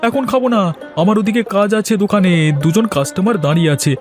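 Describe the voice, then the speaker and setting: A man playing a friendly, easily amazed village butcher in an animated moral story, chatty and exclaiming. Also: high voice, quick pace, soft background music. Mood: cheerful, admiring.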